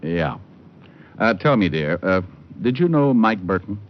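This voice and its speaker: A wisecracking private eye from an old noir radio show. His very low voice swings expressively, smooth and sardonic. The recording is muffled, with music. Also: big pitch swings, measured pace, a little hiss or hum. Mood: wry, sardonic. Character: wisecracking, suave male private detective. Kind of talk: first-person noir radio narration and dialogue.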